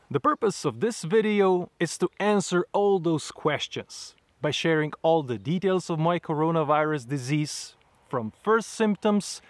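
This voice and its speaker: Man, 30s, travel vlogger speaking to camera outdoors; earnest, measured delivery, slightly raised pitch, dry close sound. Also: no echo. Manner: earnest, measured, slightly non-native phrasing. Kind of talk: direct-to-camera explainer. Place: outdoors in front of trees.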